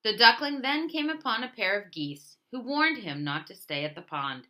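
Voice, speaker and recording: A woman reading a children's storybook aloud in an expressive, emphatic way. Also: fairly high pitch, normal speed. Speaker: storybook reader.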